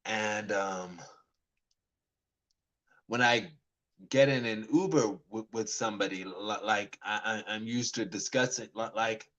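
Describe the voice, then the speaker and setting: A man's voice: on the low side, measured pace, pauses often, a bit bright, low-quality recording. A man of about forty telling a story in a casual monologue, rambling and restarting his sentences often, and acting out both sides of conversations. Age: 40s. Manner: rambling, many restarts and stammered repeats. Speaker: vlogger.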